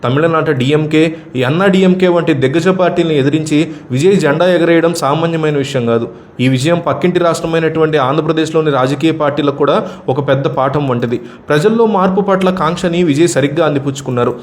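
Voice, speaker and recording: A man's voice: on the high side, fast. A man from or reads a scripted news commentary as a voice-over narrator.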